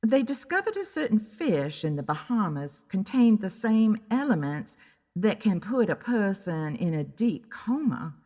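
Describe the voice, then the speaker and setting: A woman playing a wry, easily annoyed private investigator who narrates her own story in a deliberate, dramatic way. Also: mid-range voice, sing-song, a little mellow, big-room sound. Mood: wry, matter-of-fact. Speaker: narrator and lead character of an audio drama. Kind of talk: scripted first-person detective story narration.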